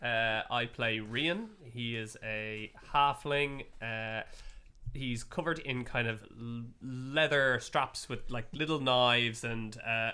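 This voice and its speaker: Bright, clear-voiced man. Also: mid-range voice. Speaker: player. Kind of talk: casual chat.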